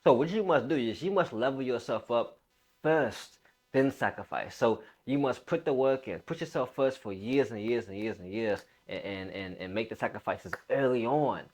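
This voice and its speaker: A man's voice: medium pitch, expressive, moderate pace, a little mellow. A man in his late twenties giving a casual motivational talk as a fitness coach, emphatic, repeating phrases and using informal bro slang.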